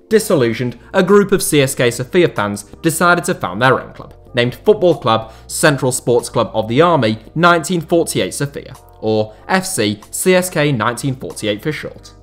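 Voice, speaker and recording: Male host, mid-pitched voice, measured documentary voice-over, faint music under it. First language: not Bulgarian. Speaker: YouTube channel host. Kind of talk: scripted documentary narration.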